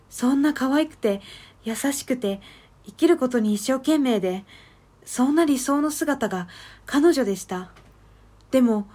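A woman playing a sorrowful, self-loathing character in a radio drama monologue, gentle, earnest and confessional. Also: high-pitched.

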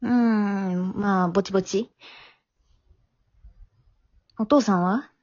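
A woman playing a casual high school girl who is reserved with her father, in an amateur radio drama. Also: slow, darkish tone. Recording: dull remote home recording.

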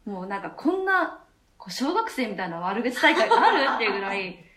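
A woman's voice: fairly high pitch, very animated, fairly quick, a bit bright. A woman, a theatre troupe member, chatting casually and explaining things with enthusiasm as a radio co-host. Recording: echoey room.

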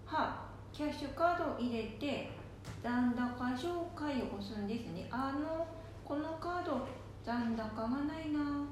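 A woman acts out a chatty, excitable old grandmother in a homemade radio drama. Her voice is high-pitched, with a country Nanbu dialect. It rings in a very echoey room over faint noise. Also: a little slow. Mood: trusting, eager. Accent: Nanbu dialect, Japan. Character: gullible, stubborn, chatty elderly grandmother.

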